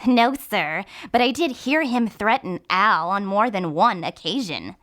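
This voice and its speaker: Snarling, animated league boss voiced by a woman. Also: mid-range voice, a little slow. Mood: snarling, insistent. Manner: snarling, blunt. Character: snarling, accusing male baseball league owner.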